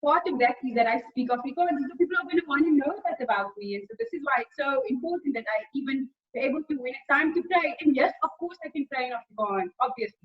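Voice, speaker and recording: A young South African woman with a Cape Town accent speaks in a high, lively voice, restarting and repeating words as she chats. Her sound is muffled and lo-fi, as if coming through a video call from a small room at home. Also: fast talker, few pauses. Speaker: host. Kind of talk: casual conversation. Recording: dull lo-fi video call audio.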